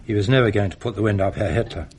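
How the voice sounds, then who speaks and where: An actor playing an ageing, world-weary former British officer with an English accent and clipped, understated upper-class speech in a radio drama. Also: male voice, on the low side, rapid-fire, a little mellow, lightly acted. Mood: weary, grave. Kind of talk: period radio drama dialogue. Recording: noisy archive radio drama recording.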